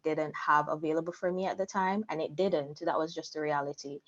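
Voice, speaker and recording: A woman's voice: deep voice, highs cut off. A young adult woman telling her personal story candidly as an interview guest. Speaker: interview guest, content creator.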